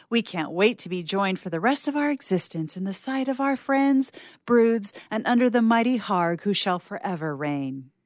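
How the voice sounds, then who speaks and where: A woman's voice: mid-range voice, dry recording, studio quality, natural voice. A woman playing an earnest bride-to-be, reading a formal, ceremonious announcement in a comic science-fiction story. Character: gushing, formal bride-to-be announcing interspecies gift registry.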